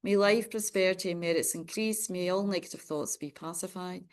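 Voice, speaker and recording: A woman Buddhist nun leading a prayer ceremony for an online group, reciting in a steady, measured way and chatting warmly with participants. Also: lowish voice, smooth. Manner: friendly, chatty greeting of participants.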